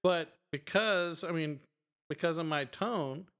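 Middle-aged guy with a strong, smooth voice, chatty podcast host rambling through stories. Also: fairly high pitch, takes it slowly. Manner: animated storytelling, lots of fillers. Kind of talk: casual chat.

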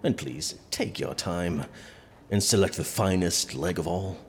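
An actor playing a pompous, vain and gluttonous medieval monarch, imperious in an audio drama. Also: male voice, low voice, measured pace. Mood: dismissive, imperious. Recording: long hall reverb, faint ambient noise.

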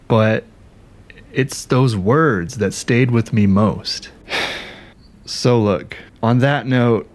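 An actor playing an aggrieved, self-dramatizing ghostwriter in a conversational, wry, indignant audio drama monologue. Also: male voice, fairly low pitch, darkish tone. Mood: confessional, bitter. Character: aggrieved, self-dramatizing male ghostwriter telling his grievances. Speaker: narrator and protagonist.

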